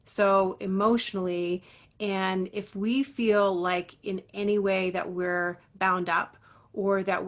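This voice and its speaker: A woman's voice: medium pitch, a bit bright. Earnest, encouraging woman voice coach. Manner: earnest, encouraging, many fillers. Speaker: guest, voice teacher and success coach. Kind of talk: interview talk.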